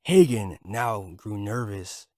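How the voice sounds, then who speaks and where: A man's voice: on the low side, animated, takes it slowly, a little mellow, dry recording, clean studio sound. A man narrating a scripted fictional story.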